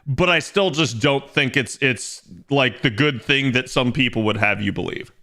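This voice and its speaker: A young man chatting casually on his game stream, rambling and restarting as he thinks aloud, with a slightly raspy voice today. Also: mid-range voice, moderate pace, bright, forceful tone. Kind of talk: casual livestream chat.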